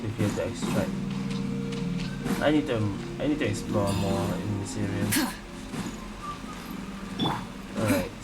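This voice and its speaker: A woman's voice: rumbling low, a little slow, long pauses, poor audio. A woman gaming streamer giving casual playthrough commentary, musing aloud in short reactions. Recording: loud game music behind the voice.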